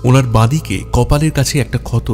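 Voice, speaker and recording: A man's voice: mid-range voice, a little fast, darkish tone. A man reading scripted narration for a detective audio story. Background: background music.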